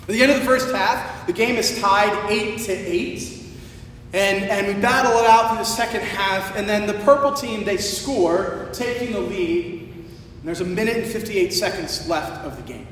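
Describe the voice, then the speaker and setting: A high-pitched male preacher speaks from some distance in a large, very reverberant hall. His voice is a little hoarse, and he tells his story conversationally, with animated emphasis and stretched-out phrases. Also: moderate pace. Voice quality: slightly hoarse. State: hoarse from shouting the day before. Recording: echoey large hall, distant, faint rumbling noise.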